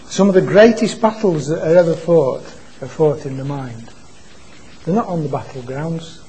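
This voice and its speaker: A man preaching an informal sermon into a microphone at a church meeting, in a quiet voice that stalls and restarts on words. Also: on the high side, breathy edge. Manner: halting, repeats and restarts words. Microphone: microphone being adjusted.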